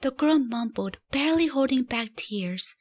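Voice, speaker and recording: A woman's voice: high-pitched, expressive, moderate pace. A woman reading scripted story narration as the narrator of a radio play.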